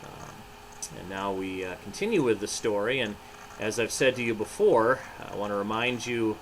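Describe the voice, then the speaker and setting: Pastor talking to children, noisy recording. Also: male voice, on the low side, moderate pace. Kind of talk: children's storybook reading with short reflections.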